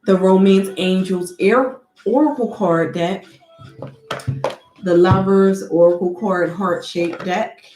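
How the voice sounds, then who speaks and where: A woman giving a tarot reading who talks slowly and deliberately, sniffling from allergies, and calls on spirits in a measured, invocation-like way. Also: mid-range voice, roomy sound, faint music behind, low-quality recording. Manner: slow, soft and deliberate, with an invocation-like cadence. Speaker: tarot card reader.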